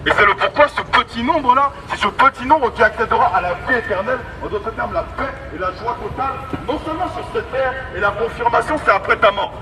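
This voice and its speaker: A man's voice: very high voice, expressive, brisk, hardly any pauses. A man preaching an evangelistic street sermon through a megaphone, emphatic, repetitive and exhorting. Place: outdoors in an urban street. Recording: distant, noisy outdoor megaphone with street traffic.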